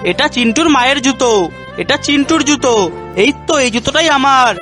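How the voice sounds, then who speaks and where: A performer voicing a brisk, puzzled grown-up in a funny children's cartoon. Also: high-pitched, close to an everyday voice. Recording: loud music over the voice.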